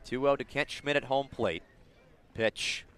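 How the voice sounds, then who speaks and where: A man calling live baseball play-by-play for radio, describing the action in detail and building excitement on big plays. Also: mid-range voice, unhurried, slight background noise. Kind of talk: live radio sports commentary.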